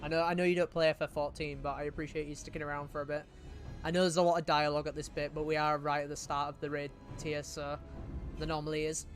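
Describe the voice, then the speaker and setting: Higher-voiced British man chatting on stream. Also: level tone, quick pace, faint music behind. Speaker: streamer hosting a group voiced game playthrough. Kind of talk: casual live-stream chat.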